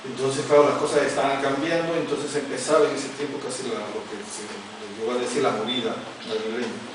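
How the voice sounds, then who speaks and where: A middle-aged man from the Peruvian Amazon tells a story at his book launch, often stopping and restarting. His voice sits in the middle range. It is picked up from far away in a very echoey hall with clear background noise. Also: mid-range voice, fairly even intonation. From Peru, Amazon region. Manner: halting, many restarts and fillers, anecdotal. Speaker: author presenting his book. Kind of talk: informal talk at a book presentation. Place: large echoey arts center hall.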